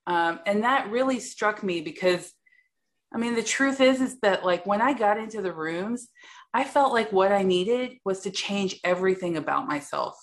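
A woman's voice: mid-range voice, moderate pace. Woman with a big, direct voice. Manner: direct, blunt, swears freely. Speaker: support group member sharing. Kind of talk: candid personal share in a group meeting.

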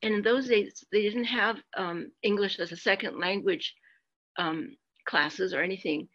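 A woman's voice: medium pitch, measured pace, fairly bright tone. An older woman candidly sharing her personal story at a support meeting, with self-deprecating humor, heard over a video call. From United States. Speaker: meeting speaker. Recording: muffled lo-fi video call audio.

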